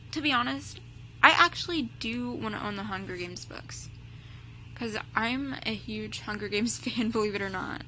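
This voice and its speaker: A woman's voice: medium pitch, low-quality recording. A young woman chatting casually as a vlogger, rambling with lots of "like" and "um". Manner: chatty, full of "like" and "um" fillers. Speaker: vlogger reacting to a video. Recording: steady background hum.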